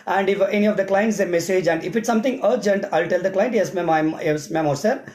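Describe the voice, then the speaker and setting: Fast, high-voiced Indian man. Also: darkish tone. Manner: repetitive, step-by-step explaining with examples. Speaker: event emcee and host. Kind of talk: informal explanatory vlog monologue. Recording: roomy, echoing sound.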